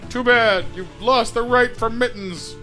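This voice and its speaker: A man's voice: extremely high pitch, unhurried, pushed and bright, faint music behind. A man live-streaming a game, chatting playfully with his chat, his voice getting scratchy late in the session. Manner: playful, chatty, sings silly bits. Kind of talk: casual gaming stream chat.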